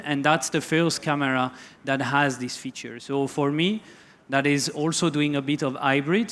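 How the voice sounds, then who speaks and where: A man with a French accent giving a relaxed, loosely worded talk on wildlife photography to an audience, full of fillers and restarts. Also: a little high. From France. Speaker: photography lecturer. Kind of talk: conference talk.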